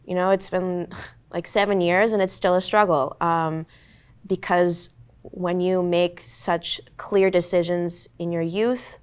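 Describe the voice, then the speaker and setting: A woman's voice: lowish voice, fairly even intonation, takes it slowly, smooth. A young woman actor in her twenties, whose first language was Korean, talking earnestly and reflectively on a panel with many "like"s and "um"s. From United States. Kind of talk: candid panel talk.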